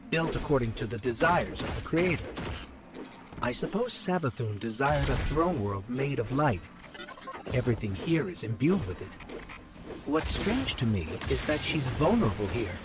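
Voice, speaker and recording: An actor playing a wary, earnest robot companion in a video game, articulate and questioning. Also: male voice, mid-range voice, very animated, normal speed, music in the background, close to an everyday voice. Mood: curious, musing.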